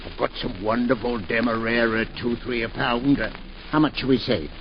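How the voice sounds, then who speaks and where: Actor playing a gravelly, pushy village grocer. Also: male voice, mid-range voice, fairly even intonation, normal speed, lightly acted. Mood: pushy salesman. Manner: chatty, salesman patter. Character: pushy middle-aged male village grocer and air warden. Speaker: air raid warden selling groceries. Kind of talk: 1940s radio acting. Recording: dull 1940s radio broadcast, noisy.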